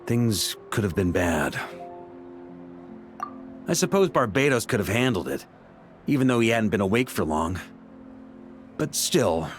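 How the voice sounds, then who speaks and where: An actor playing an easygoing male knight in a video game, talking in a casual, wry and reflective way over background music. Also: fairly low pitch.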